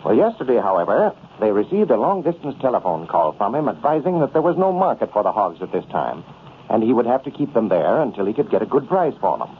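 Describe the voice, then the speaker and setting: An animated male announcer in the 1930s radio manner. His pitch moves freely as he delivers scripted copy. The recording is tinny and noisy, like an old broadcast. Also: fast talker.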